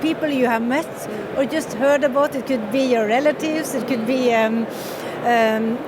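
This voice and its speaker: A woman in her fifties from northern Norway with a Norwegian accent, a fiddle player talking in an interview, halting with many fillers and restarts. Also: sits high. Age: 50s. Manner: hesitant, many fillers and restarts, laughs often. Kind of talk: casual interview. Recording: loud street noise behind.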